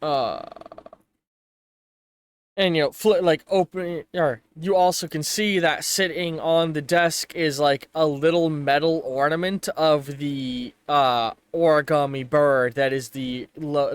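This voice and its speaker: A man runs a tabletop roleplaying game, describing scenes off the cuff. His voice is clear and a bit high, recorded close and dry, with casual, halting delivery as he feels for the next word. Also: dry recording. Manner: halting, casual, pausing to find words. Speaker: game master. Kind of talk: improvised tabletop game narration.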